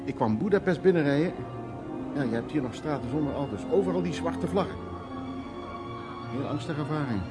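A man's voice: on the high side, expressive, fairly quick, long pauses, loud music behind the voice, lightly acted. An actor playing a troubled, wry and anxious man in a radio drama, musing aloud in hesitant, broken phrases. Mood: anxious, haunted. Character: troubled man named Louis, recounting past, anxious, wry. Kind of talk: radio drama acting, intimate storytelling.

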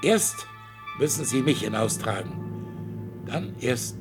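An old collector, proud, fervent, stubborn, slow-spoken, faint music underneath. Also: male voice, medium pitch, big pitch swings, creaky, exaggerated voice. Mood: impassioned. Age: older. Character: proud old man, a blind art collector, childlike.

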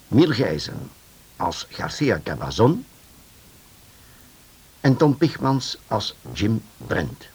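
Husky, unhurried male radio announcer. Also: fairly low pitch. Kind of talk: 1950s radio closing credits narration.

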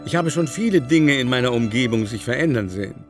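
A man plays an aging aristocrat in a period radio drama, haughty and forever scandalized. He declaims his long laments theatrically at a middle pitch, with music clearly playing behind him. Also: animated, fairly quick, close to an everyday voice. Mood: nostalgic indignation. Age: older. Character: aging male aristocrat, haughty, indignant, gossipy society moralizer. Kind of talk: literary radio drama acting. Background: musical score.

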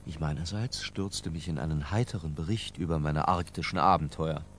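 An actor playing an obsessed, middle-aged European intellectual who narrates his diary in a radio play, measured, erudite and disdainful. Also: a bass voice, slight background noise. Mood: brooding, confessional.

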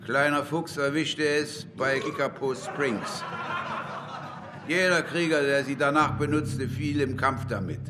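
A man's voice: mid-range voice, unhurried, noisy background, natural voice. An actor playing a proud, bitter Comanche warrior who tells his story in solemn, defiant oratory in a German radio play. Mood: grim. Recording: music bed behind the voice.

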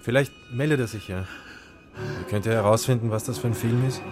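A man's voice: mid-range voice, fairly quick, over music, close to an everyday voice. An actor playing an ordinary young man talking in a radio drama.

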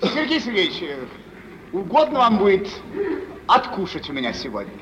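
An actor playing a young, self-important suitor who speaks with halting, formal dignity, in old Russian stage style. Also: male voice, sits high, wildly swooping pitch. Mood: stiff, reluctant. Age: young adult. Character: stiff, touchy young suitor putting on dignity. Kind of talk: 1940s Russian stage acting for radio. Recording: muffled, hissy old radio play.

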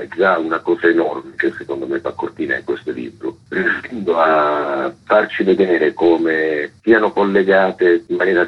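Deep husky man on a phone line. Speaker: publisher, interview guest. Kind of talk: radio phone interview. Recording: tinny, muffled telephone line.

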